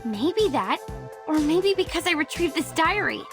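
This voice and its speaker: A woman's voice: sits high, sing-song, measured pace, over music, exaggerated voice. An actress playing an earnest, easily flustered young woman in a video game. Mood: shy, hopeful. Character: earnest, easily flustered young female horror-movie fan. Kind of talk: English video game character acting.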